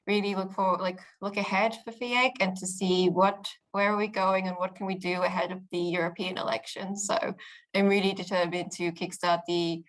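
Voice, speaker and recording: A young British woman speaking in a fairly low voice. She talks earnestly and upbeat, with plenty of ums and restarts, like an interviewee thinking aloud. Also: flat delivery, moderate pace, poor audio. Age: young adult. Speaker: interviewee, youth political spokesperson. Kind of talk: casual interview answers.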